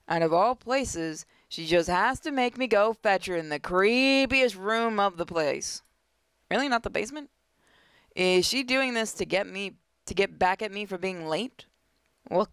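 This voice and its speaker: A woman hosting a gaming video, reading a visual novel aloud dramatically with playful, expressive asides. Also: medium pitch, clean tone, dry recording.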